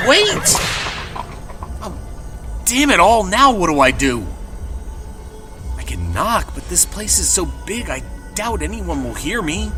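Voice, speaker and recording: A man's voice: high-pitched, heavily acted. An actor playing a nervous, polite male traveller in an audio drama, hesitant and anxious.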